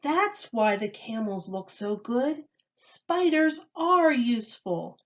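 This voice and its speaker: A woman's voice: fairly high pitch, very animated, slow pace, muffled recording, voice pushed up. A woman voicing an eager, earnest male zoo assistant in a children's storybook. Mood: excited.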